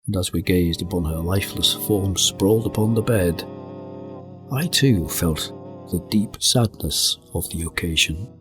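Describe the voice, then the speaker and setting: A man acting a solemn, melancholy gentleman narrator in a literary gothic radio drama. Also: deep voice, unhurried, music in the background. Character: melancholy gentleman narrator recalling his friend's descent into madness.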